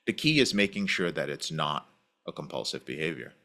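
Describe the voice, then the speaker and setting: A man who is a podcast guest, talking in an animated, casual way and acting out the voices he quotes. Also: a bass voice, a little fast. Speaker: podcast guest, recovery program founder.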